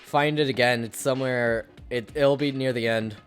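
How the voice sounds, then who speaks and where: A woman's voice: a bass voice, steady tone, normal speed. A woman streamer chatting casually and a bit self-deprecatingly while playing a game. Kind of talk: casual live-stream commentary. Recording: faint game music behind.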